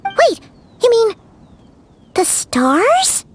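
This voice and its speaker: A woman voicing a small, excitable, childlike fairy companion in a video game, squeaky and chatty. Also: very slow, full character voice. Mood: questioning. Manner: chatty, refers to herself in third person. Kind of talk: video game character acting. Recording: background music under the voice.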